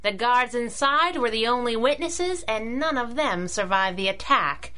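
Cheeky young female sidekick, 1930s radio serial, high, sharp, animated. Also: clean tone. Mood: urgent, curious. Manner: sassy, quick with comebacks. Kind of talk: 1930s radio adventure drama acting.

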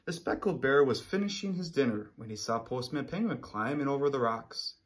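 A man's voice: medium pitch, lively intonation, fairly quick. A man in his thirties, a teacher reading aloud and explaining things to children expressively, often checking in with listeners. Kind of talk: children's read-aloud lesson. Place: small room indoors.

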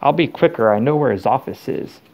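A man's voice: mid-range voice, animated, fairly quick, gravelly, a little mellow, poor audio. A man voicing a concerned teenage schoolboy, speaking with cautious, firm urgency.

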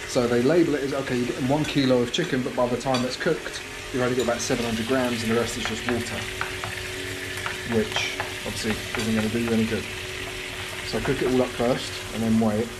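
A man's voice: medium pitch, level tone, fairly quick. A young man, chatting casually and explaining as he cooks in his home kitchen, filmed on a close handheld camera. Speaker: vlogger. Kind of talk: casual vlog chat while cooking. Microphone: handheld camera held close. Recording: home kitchen with sizzling pan noise. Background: frying sizzle.

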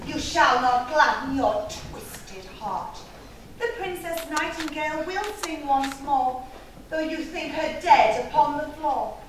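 An actress playing a plucky, prim good fairy in a stage pantomime, declaiming rhymed couplets. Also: female voice, high-pitched, takes it slowly, slight background noise. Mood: commanding. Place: theatre stage, recorded from afar. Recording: very echoey theatre, distant, music behind.

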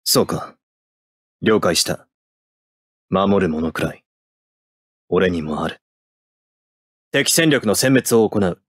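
A man's voice: low-pitched, lively intonation, slow, long pauses, dry recording, studio quality, full character voice. A man playing a cold, stoic young mecha pilot in an anime video game, speaking in terse, clipped military phrases. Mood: cold, controlled. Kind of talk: anime game battle voice lines.

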